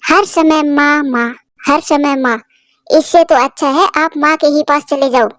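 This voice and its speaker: Woman doing a bossy young wife bird, cartoon, high pitched, naggy and insistent, dry recording. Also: unhurried, a bit bright, dry recording. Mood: annoyed. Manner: nagging, insistent. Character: young bird wife, bossy, quick-tempered, complaining daughter-in-law.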